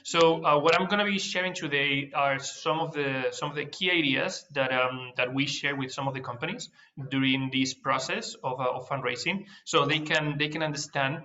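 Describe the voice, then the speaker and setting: Spanish-accented man, bright, many fillers. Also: fairly high pitch, normal speed, dull audio. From Spain. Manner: hesitant, many fillers and restarts. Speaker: managing director of a startup accelerator, guest speaker. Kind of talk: webinar presentation.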